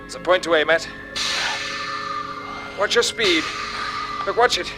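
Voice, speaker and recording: A decisive space captain in an old radio serial. His voice sits a little high, and he speaks in brisk, clipped, commanding phrases, with music clearly behind him. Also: poor audio. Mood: urgent, steady. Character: steady, decisive male space captain leading his crew. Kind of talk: 1950s radio drama acting.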